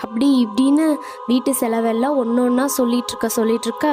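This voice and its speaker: A woman's voice: on the high side, fairly even intonation, quick pace. A woman narrating a cartoon story in a plain, matter-of-fact way, with music playing behind. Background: background music.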